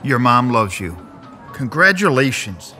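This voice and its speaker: Man playing a sneering, sharp-voiced tormentor. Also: mid-range voice, sing-song, unhurried, faint music behind. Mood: scornful. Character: mocking, scornful male tormentor babysitting a spoiled young man. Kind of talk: audio drama acting.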